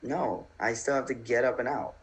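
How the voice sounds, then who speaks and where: A man's voice: medium pitch, moderate pace, poor audio. A man co-hosting a trading livestream, teasing his friend, swearing and laughing often. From Ecuador. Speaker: livestream co-host. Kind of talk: casual banter on a livestream.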